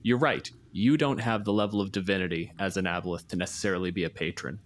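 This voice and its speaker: Game master, male, lower register, conversational and improvised delivery for tabletop play. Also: steady tone, quick pace.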